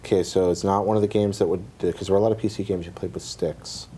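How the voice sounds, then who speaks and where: Lower-pitched man thinking aloud. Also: fairly even intonation, fast talker, dark tone, husky. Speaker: podcast panelist. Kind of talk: casual panel chat, guessing game.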